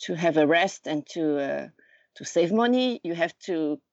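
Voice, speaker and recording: A woman in her thirties speaks with a Swiss French accent in a low voice. She is a podcast guest who stops often to search for words, with many fillers. The remote recording sounds dull and very dry. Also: expressive, measured pace, darkish tone, dry recording. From Lausanne, Switzerland. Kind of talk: casual conversation.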